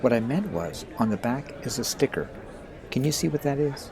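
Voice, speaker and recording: A man playing a principled, friendly American high school boy who explains things earnestly in an audio drama. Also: expressive, moderate pace, darkish tone, close to an everyday voice. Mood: explanatory. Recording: busy crowd noise behind.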